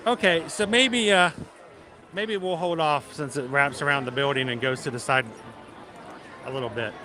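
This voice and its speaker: Chatty man streaming from a crowded park. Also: fairly high pitch. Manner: chatty, joking, stumbles and repeats words. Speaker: livestream host. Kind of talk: casual live-stream chat with viewers. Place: outdoor theme park among crowds.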